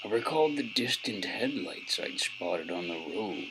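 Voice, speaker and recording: A man playing a world-weary, sardonic gumshoe, delivering brooding, florid and deliberate hardboiled noir lines in an audio drama. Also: lowish voice, expressive, background noise. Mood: wary, brooding. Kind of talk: scripted hardboiled noir narration.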